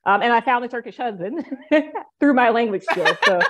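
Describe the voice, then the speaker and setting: A woman's voice: fairly high pitch, lively intonation, moderate pace, noisy background. A woman book coach talking as a guest in a casual interview, rambling with many fillers.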